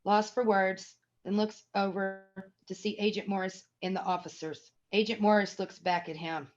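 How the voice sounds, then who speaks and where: A woman's voice: mid-range voice, fairly even intonation, moderate pace, muffled recording, soft background music. A woman reading stage directions as the narrator of a scripted screenplay table read.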